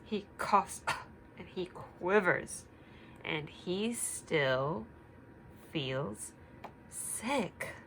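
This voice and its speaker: Female teacher, children's read-aloud: bright voice, animated pitch, unhurried, explains simply, slight background noise.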